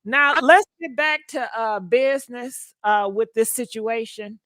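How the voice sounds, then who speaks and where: Loud bright high voiced lady hosting gossip, jokey and brash, lots of slang. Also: a little slow, dry recording. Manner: playful, brash, slangy, swearing. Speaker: gossip show host. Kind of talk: casual comedic banter.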